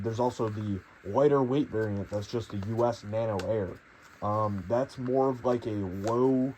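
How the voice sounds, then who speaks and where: A young man with a hoarse voice from a cold, casually reviewing a jacket in a rambling, hedging way with lots of fillers. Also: on the low side, a little mellow, a little hiss or hum, poor audio. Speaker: gear reviewer.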